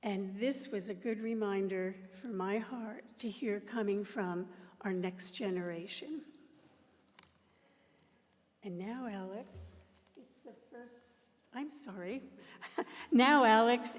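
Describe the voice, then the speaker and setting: A middle-aged woman leading a church service, telling a story in a slow, earnest way into a microphone. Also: mid-range voice. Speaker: worship leader. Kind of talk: reflective talk at a service. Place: church sanctuary. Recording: reverberant hall.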